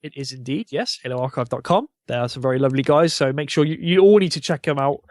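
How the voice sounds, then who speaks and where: A man's voice: medium pitch, fast talker, no room sound. A man speaking as a podcast guest in an eager, rambling, conversational fan style. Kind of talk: casual chat.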